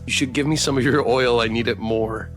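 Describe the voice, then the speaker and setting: A man voicing a blunt, selfish traveler in a dark fantasy game, talking in an ominous manner. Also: mid-range voice, steady tone, fairly quick, soft background music, close to an everyday voice. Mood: curt.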